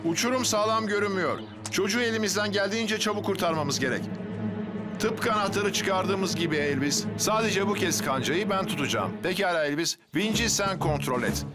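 Children's cartoon firefighter lead: high-set voice, steady, reassuring, clear authority, music behind. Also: male voice, a little fast. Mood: focused, reassuring. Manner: reassuring, instructive. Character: calm, dependable adult male firefighter hero. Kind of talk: dubbed children's cartoon dialogue. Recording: music under the dialogue.

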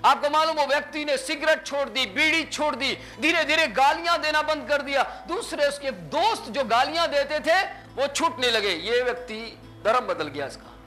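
Brief: male pastor, 30s, high bright voice, animated storytelling sermon, faint music underneath. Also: moderate pace, hardly any pauses. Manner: animated preacher, acts out dialogue with sweeping gestures. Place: small indoor stage with curtains.

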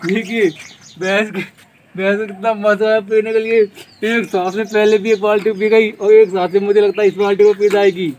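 A young man giving excited, repetitive casual vlog commentary to his viewers outdoors. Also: high voice, normal speed. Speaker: vlogger. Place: open dirt farmyard, outdoors. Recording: noisy outdoor yard, birds and animals.

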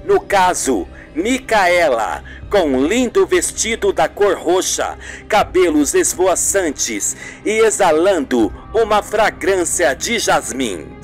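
Slow-paced Brazilian radio soap narrator. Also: mid-range voice, very animated, soft background music.

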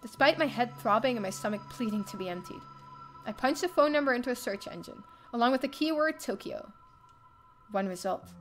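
A young woman streamer reading a visual novel aloud in the first person, expressive and theatrical. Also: mid-range voice. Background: game music.

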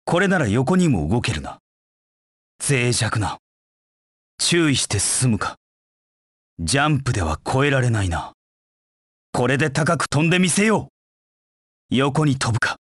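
An actor voicing a proud, cold dark knight in a video game, with curt, haughty, disdainful delivery. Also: male voice, medium pitch, no echo, studio quality. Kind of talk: video game voice acting in short battle lines.

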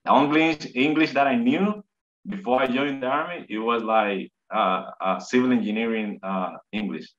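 A man in his thirties from Puerto Rico with a Puerto Rican Spanish accent, a native Spanish speaker telling his story in a casual video call interview, halting and repeating words. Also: medium pitch, a bit bright. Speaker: interview guest. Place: home room, indoors. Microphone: video call webcam. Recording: dull lo-fi video call audio.

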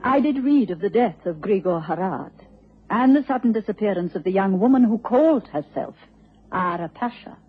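Husky, anxious genteel lady. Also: medium pitch, expressive, normal speed, soft background music. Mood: troubled. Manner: polite, formal, hesitant. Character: anxious, genteel married lady feigning meekness. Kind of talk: radio drama acting. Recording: dull old radio recording.

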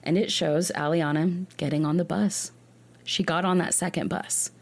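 Low-voiced woman telling a true crime story. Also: fairly even intonation, normal speed, gravelly. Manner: earnest, with frequent restarts. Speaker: podcast host.

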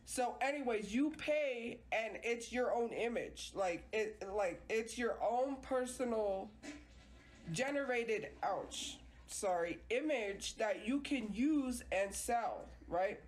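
A woman's voice: fairly high pitch, lively intonation, takes it slowly, poor audio. A woman streaming live from home with a congested nose, chatting in a rambling, hesitant way with many restarts. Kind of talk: casual livestream chat. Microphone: phone.

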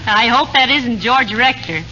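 A woman's voice: a little high, expressive, a little slow. An actress playing a sensible, wry, folksy wife who needles her husband in a 1940s radio comedy. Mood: teasing. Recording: noisy, muffled 1940s radio recording.

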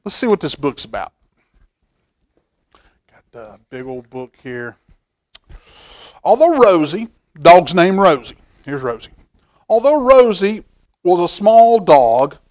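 Folksy, animated man, high-pitched. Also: slow pace, long pauses. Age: middle-aged. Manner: folksy, expressive, asking the listener questions. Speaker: library storytime reader. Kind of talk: reading a picture book aloud to children, with chatty asides. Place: indoor library children's room.

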